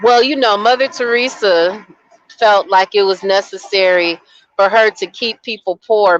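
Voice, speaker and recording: A woman guest on a podcast livestream making measured, firm statements. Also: fairly low pitch, dry recording. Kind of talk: livestream discussion. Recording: dull, dry remote audio.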